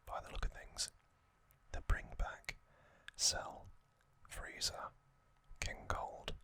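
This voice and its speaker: A man whispers his way through a relaxed ASMR recap, slow and unhurried. His whisper is crisp and bright, and he hesitates often with soft ums between thoughts, giving casual fan opinions as he goes. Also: dry recording. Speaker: ASMR host.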